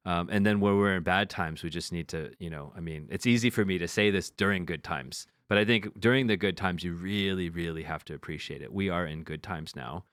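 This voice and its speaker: A man's voice: sits low, a little fast, hardly any pauses, fairly bright tone, no echo. A man co-hosting a podcast, talking frankly in casual chat, swearing now and then and often restarting his sentences. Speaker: podcast co-host.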